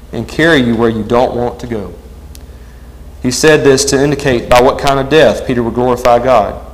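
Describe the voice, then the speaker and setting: A man's voice: mid-range voice, poor audio. A man preaching a sermon, earnest and emphatic, often asking his listeners "right?".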